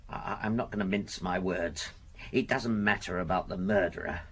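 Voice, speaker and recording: Casting: a self-important, complaining invalid businessman, gruff low husky voice, radio play. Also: moderate pace, fairly bright tone. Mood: aggrieved, blustering. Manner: peevish, blustering, self-important. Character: grumpy, indignant businessman laid up with gout. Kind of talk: radio drama acting.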